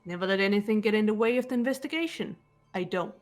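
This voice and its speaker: A woman's voice: mid-range voice, faint music behind, natural voice. A woman voicing a composed, guarded, analytical teenage girl detective in a fan-dubbed game. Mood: cool, analytical. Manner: measured, reserved, matter-of-fact delivery. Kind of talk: fan voice acting of a visual novel game.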